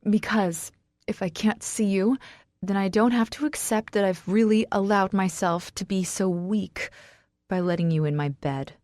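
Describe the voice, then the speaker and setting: A woman's voice: mid-range voice, steady tone, normal speed, a little mellow, no room sound, studio quality, lightly acted. A woman narrator playing a distraught, defensive wife in an audiobook, pleading and emotionally raw. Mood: ashamed.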